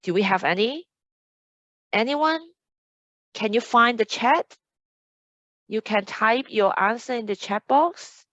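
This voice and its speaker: A woman's voice: a little high, expressive, takes it slowly, pauses often, fairly bright tone, no room sound, muffled recording. A woman hosting an online admissions webinar as a casual chat, upbeat and chatty as she reads out audience comments. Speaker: admissions host. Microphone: video call.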